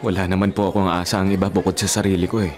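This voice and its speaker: A man's voice: low voice, little pitch movement, rapid-fire, darkish tone, close to an everyday voice. An actor playing a sincere young male suitor, respectful, hesitant and earnest, in a radio drama. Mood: deferential. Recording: prominent music behind the voice.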